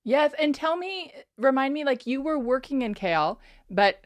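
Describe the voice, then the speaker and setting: A woman hosting a podcast, asking questions in a lively, playful way in a casual interview. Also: sits high, normal speed, no echo. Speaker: podcast host. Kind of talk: casual interview over video call.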